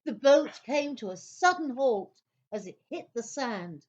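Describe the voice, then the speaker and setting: Female narrator, theatrical children's storytelling, very animated pitch, measured pace, slightly dull recording. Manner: theatrical, suspenseful.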